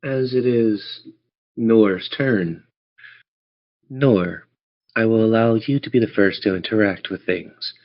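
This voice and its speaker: A man running a tabletop game as game master, narrating in a theatrical, measured storytelling manner. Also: fairly low pitch. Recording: dull lo-fi audio.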